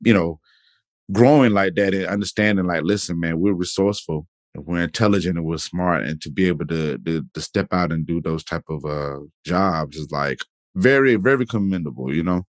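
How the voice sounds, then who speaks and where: Brief: male podcast host, very low voice, easygoing chatty delivery, dry close sound. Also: normal speed, dry recording. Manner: laid-back, peppers speech with "man" and "you know". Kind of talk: casual interview chat.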